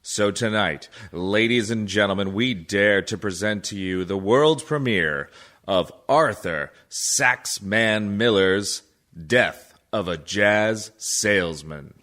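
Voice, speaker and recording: A man announcing a radio play in a scripted, mock-solemn, grandiose and deliberate manner. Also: on the low side, bright, forceful tone.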